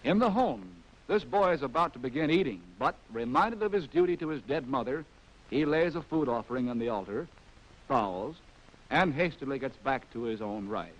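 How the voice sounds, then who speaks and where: A man's voice: medium pitch, expressive, moderate pace, lo-fi sound. An American man narrating a scripted 1940s training film in a wry, arch, theatrical way, on a vintage film soundtrack.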